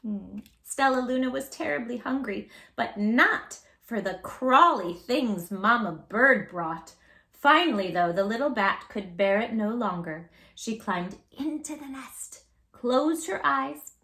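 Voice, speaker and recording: A woman host reading a children's story aloud in an animated, expressive way, with dramatic pauses and drawn-out emphasis. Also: a little high, gravelly.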